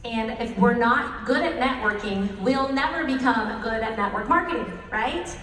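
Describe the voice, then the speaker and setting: A woman guest speaker with a husky voice, talking to an audience in a lively way and laughing often. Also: on the high side, moderate pace, a little hiss or hum. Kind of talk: presentation to an audience. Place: large echoey hall. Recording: roomy hall recording from a distance.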